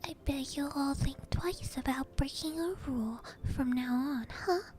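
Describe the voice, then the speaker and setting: A woman's voice: sits high, a little slow, a little mellow, slight background noise. A woman voicing a gleefully cruel, maniacal male school caretaker from a storybook, gloating and menacing. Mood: gleeful menace.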